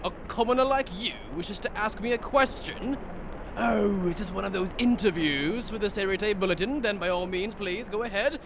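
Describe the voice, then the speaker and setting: An actor playing a sadistic, condescending male aristocrat villain in an anime fan dub, mocking and drawn-out in delivery. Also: high-pitched, noisy background.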